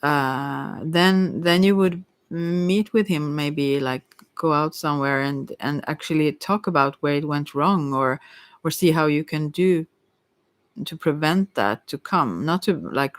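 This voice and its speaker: Halting woman casually reading tarot, dry recording. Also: deep voice, no echo. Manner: halting, thinking aloud. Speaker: tarot reader.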